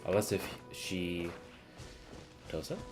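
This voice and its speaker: A woman's voice: extremely low pitch, steady tone, takes it slowly. A woman streaming a game with casual, sweary, emotionally reactive commentary, talking back to the game. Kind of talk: casual live playthrough commentary. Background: game music.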